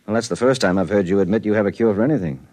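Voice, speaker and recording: A man's voice: fairly low pitch, racing, a little mellow, no room sound, close to an everyday voice, poor audio. An actor playing a worried, skeptical married man in a 1950s radio drama, plainspoken and wry.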